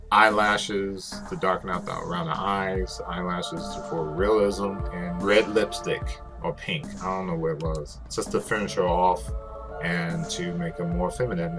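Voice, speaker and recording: Male DIY host, deep voice, rambling informal tutorial delivery with loose swearing, music underneath. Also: moderate pace. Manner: casual, rambling, swears freely. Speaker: host, prop maker. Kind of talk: casual craft tutorial narration. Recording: music behind the voice.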